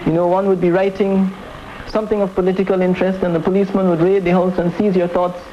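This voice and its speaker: An interviewee explains and reminisces in a reflective, halting way, as in a documentary. The voice sits at a middling pitch. The old seventies film sound is dull, with faint noise. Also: little pitch movement. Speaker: interviewee recalling political activism.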